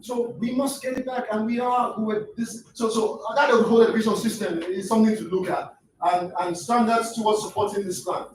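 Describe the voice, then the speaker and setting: Fast, high-voiced Nigerian man, Yoruba native, giving a fervent lecture from far off the mic in an echoey hall. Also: steady tone. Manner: impassioned, rising to near shouts, stammering restarts. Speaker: guest lecturer. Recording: echoey room, distant mic, faint noise.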